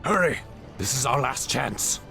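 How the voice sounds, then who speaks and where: A man's voice: medium pitch, lively intonation, unhurried, gravelly, over music. A man voicing a proud, brooding outcast warrior in a solemn, dramatic way for a video game. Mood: urgent. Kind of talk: video game cutscene voice acting.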